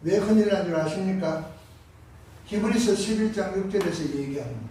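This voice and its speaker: A pastor with a Gyeongsang accent delivering a Sunday sermon, impassioned, moving between hushed and loud passages. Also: male voice, high voice, normal speed, a little hiss or hum. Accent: Gyeongsang Korean. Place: large church sanctuary. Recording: echoey sanctuary from far off.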